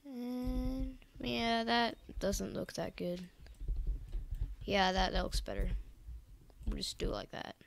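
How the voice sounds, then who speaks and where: A teenage girl with a croaky voice from being sick, casually narrating a game build tutorial with rambling, hesitant self-corrections. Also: on the high side, slow, long pauses, slight background noise. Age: teens. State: croaky from illness.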